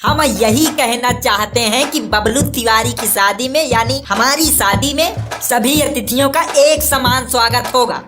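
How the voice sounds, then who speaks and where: High-pitched, defiant young bridegroom voiced by a woman. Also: a little slow, few pauses, over music, lightly acted. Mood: firmly resolute. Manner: firm, emphatic, cutting retorts. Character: defiant principled young man, an educated village bridegroom. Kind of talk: comic cartoon dialogue.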